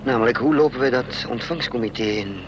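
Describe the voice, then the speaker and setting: A man's voice: mid-range voice, brisk, dull audio, lightly acted. An actor playing an anxious, scheming adventurer in a radio play, muttering and hesitating as he thinks aloud. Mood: brooding, urgent. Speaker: protagonist and narrator of a radio play. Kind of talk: Dutch radio play acting. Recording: noisy old radio play recording, vehicle drone.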